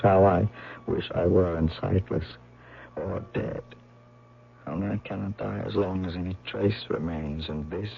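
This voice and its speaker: Tormented old man, low and slow, brooding theatrically, dull vintage radio sound with music underneath. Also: gravelly. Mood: anguished. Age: older. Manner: brooding theatrical monologue, halting. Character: sad gray old man, tormented, guilt-ridden, despairing. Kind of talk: 1970s radio drama acting.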